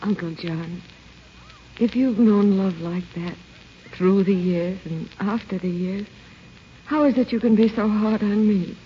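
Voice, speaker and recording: An actress playing an earnest, lovestruck young niece, pleading and emphatic in old-time radio style. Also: female voice, medium pitch, measured pace, a little hiss or hum, natural voice. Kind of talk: 1940s radio acting. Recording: muffled old radio recording with music.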